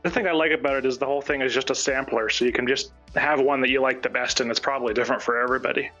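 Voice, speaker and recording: A man's voice: medium pitch, steady tone, rapid-fire, pushed and bright, lo-fi sound, faint music behind. A man chatting casually on a stream in a wry, sarcastic way.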